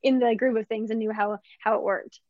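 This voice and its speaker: A woman's voice: a little high, steady tone, fairly quick, dry recording, phone-quality sound. A woman from Vancouver, an actress, chatting upbeat in an interview, with lots of "like" and restarts. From Canada, Vancouver. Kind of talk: casual interview answers.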